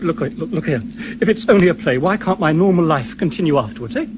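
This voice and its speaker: A cocky, argumentative British camper, played by a male actor, indignant and emphatic. The voice sits high and moves about a lot, in a noisy vintage radio drama. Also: animated, fast, natural voice. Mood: indignant, dismayed. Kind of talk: 1970s radio play acting.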